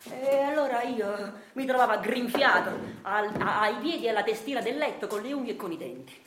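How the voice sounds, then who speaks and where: An actress playing a dreamy, henpecked, bookish husband, confiding his story in a hushed theatrical monologue. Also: fairly high pitch, lively intonation, brisk, echoey room, noisy background, natural voice. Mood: wistful musing. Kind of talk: theatrical radio monologue narration.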